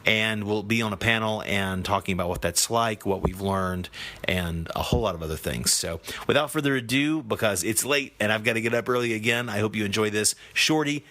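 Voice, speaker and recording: A man hosting a casual podcast interview, speaking in a relaxed, chatty way from a hotel room into a shotgun movie mic. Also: on the low side, a little fast. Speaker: podcast host.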